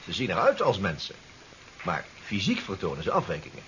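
An actor playing a modest inventor, chatty and hesitant with a slight stammering delivery, in a 1970s Dutch radio drama. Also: male voice, low voice, huge pitch swings, fairly quick, pushed and bright, exaggerated voice. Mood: hesitant, uneasy. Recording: dull, noisy 1970s radio recording.

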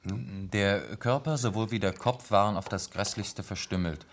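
A man's voice: lowish voice, unhurried. A man playing a sober police lieutenant delivering a formal, factual report in a German radio play.

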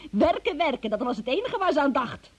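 A woman's voice: high-pitched, big pitch swings, a little fast. An actress playing a young female murder suspect in a radio play, self-pitying, evasive and defiant, with a pleading, petulant manner. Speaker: radio drama actor. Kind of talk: emotional Dutch radio play acting.

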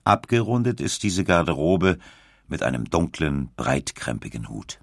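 A man reading scripted documentary narration in a measured, clearly articulated way. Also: very deep voice, sing-song, dry recording, clean studio sound. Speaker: audiobook narrator.

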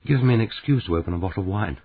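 A wounded, bitter young woman in her late twenties speaks low and soft, as a man reads her part. The voice is husky and dark. The sound is thin and tinny, like a phone line. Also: animated, racing, dry recording. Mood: cheerful, strained.

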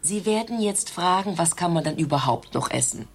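An actress playing a stern, self-righteous doctor's receptionist who lectures officiously in a satirical radio play. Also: female voice, lowish voice, expressive, normal speed, slightly rough. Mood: lecturing, officious.

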